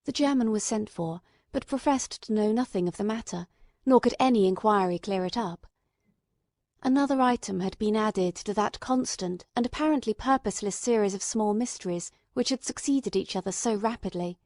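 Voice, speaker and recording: A woman's voice: mid-range voice, moderate pace, darkish tone, no echo. Woman narrating, dry close sound. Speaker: audiobook narrator. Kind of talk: scripted narration of a classic detective story.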